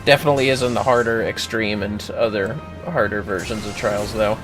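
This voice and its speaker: Low-voiced man coaching through a game. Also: fairly even intonation, brisk, poor audio. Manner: informal, swears, explains step by step. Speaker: livestreamer, gaming host. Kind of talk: casual gameplay commentary and coaching. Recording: music playing behind the voice.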